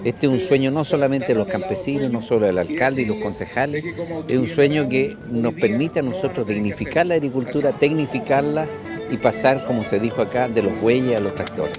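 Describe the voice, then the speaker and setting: A man's voice: medium pitch, fairly quick, music in the background. A Chilean man speaking emphatically in an outdoor interview into a handheld mic. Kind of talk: on-camera interview. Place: outdoor fair. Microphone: handheld news mic.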